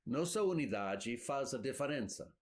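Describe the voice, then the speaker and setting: A man's voice: medium pitch, expressive, normal speed. Hesitant man interpreting a sermon. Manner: hesitant, searching for words, fillers and restarts.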